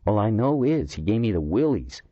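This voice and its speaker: A man's voice: lowish voice, big pitch swings, moderate pace, dry recording, highs cut off, voice pushed up. A man voicing an eccentric, talkative middle-aged man who complains indignantly. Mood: uneasy.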